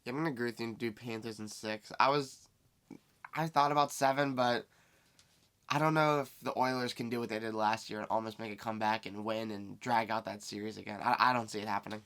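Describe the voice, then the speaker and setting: A man with a raspy voice, co-hosting a casual sports podcast, asking questions in a laid-back way. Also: on the low side, steady tone, fairly quick, a bit bright. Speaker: podcast co-host.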